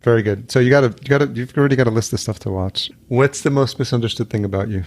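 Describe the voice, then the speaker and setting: A man's voice: mid-range voice, quick pace, darkish tone. A man born in El Salvador, hosting a podcast interview in a loose, rambling way and laughing often. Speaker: podcast host. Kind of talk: casual interview chat. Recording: remote call audio.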